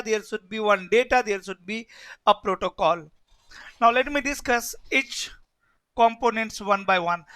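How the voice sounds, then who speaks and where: Bright-voiced man teaching networking. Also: very high voice, smooth. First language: Hindi. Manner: repetitive, keeps asking "yes or no?". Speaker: networking instructor. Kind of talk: live online class lecture.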